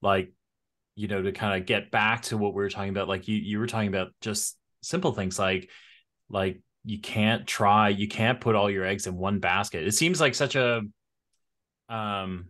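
A man's voice: lowish voice, moderate pace. A middle-aged man co-hosting a podcast, chatting casually over a video call from a home studio with a studio mic. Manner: relaxed, conversational, reads sponsor spots. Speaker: podcast co-host. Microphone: studio mic on an arm.

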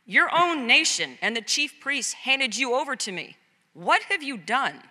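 A woman playing forceful, insistent Roman-era figures in a dramatic scripture reading, with emphatic, declamatory delivery. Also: high-pitched, very animated, unhurried, heavily acted. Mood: commanding, questioning. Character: Roman governor and clamoring crowd, forceful and insistent. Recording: long echoing hall reverb.

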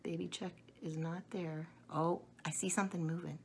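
A middle-aged woman chatting to young children in an animated, simple way in a room at home. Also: on the low side, normal speed, slight background noise. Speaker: storyteller showing children books and eggs. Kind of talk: casual chat to children.

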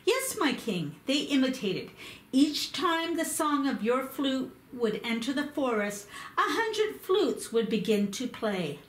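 A woman voicing a wise, alert young knight who calmly recounts his journey. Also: sits high, slow, exaggerated voice. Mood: recounting. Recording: echoey room.

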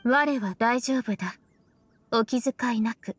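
Deadpan, husky young female disciple. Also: on the high side, takes it slowly, soft background music. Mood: flat, earnest. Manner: deadpan, formal. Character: stoic, aloof young female disciple, literal-minded. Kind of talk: Japanese video game voice acting.

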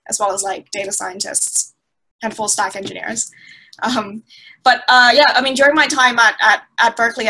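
A woman's voice: mid-range voice, bright, forceful tone, rough recording. A woman in her twenties from Thailand, a guest on a video call, answering at length with fillers and restarts. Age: 20s. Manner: many fillers and restarts, thoughtful. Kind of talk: conversational interview answers. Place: small indoor room. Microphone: laptop webcam on a video call.

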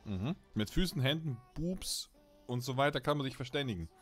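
A man's voice: medium pitch, big pitch swings, normal speed, fairly bright tone, husky, soft background music. A man chatting casually on a gaming live stream, teasing and bantering playfully in crude, slangy language. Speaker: game streamer.

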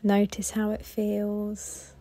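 A woman guiding a body scan meditation in a gentle, soothing, unhurried way with long pauses. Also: mid-range voice, completely flat delivery. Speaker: meditation guide.

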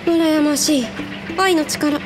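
A woman's voice: high voice, steady tone, slow, music in the background. A woman playing a cool, supportive crew member in a radio drama.

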